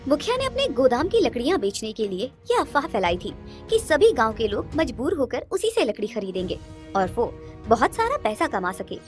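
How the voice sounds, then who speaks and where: A female narrator tells a scripted story. Her voice sits fairly high, and music plays faintly behind her. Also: sing-song, normal speed.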